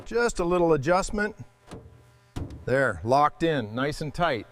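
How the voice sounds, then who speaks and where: A man's voice: a little high, sing-song, slow, fairly bright tone, slight background noise. A man hosting a restoration video, giving hands-on workshop commentary.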